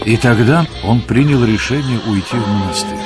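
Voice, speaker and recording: A man's voice: medium pitch, moderate pace. A man narrating a radio play in a scripted storytelling style. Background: music under the voice.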